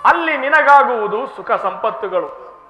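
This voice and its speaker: A man's voice: extremely high pitch, animated, a little slow, muffled recording. A man playing a benevolent supreme god, speaking in formal, stately, authoritative mythological stage declamation. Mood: commanding, benevolent. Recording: roomy echo with faint music.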